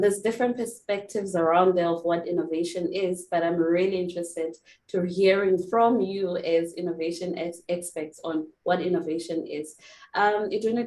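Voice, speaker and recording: A woman's voice: on the low side, darkish tone. Upbeat woman host in an echoey room. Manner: upbeat, enthusiastic. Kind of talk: online panel discussion. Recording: echoey room, faint background noise.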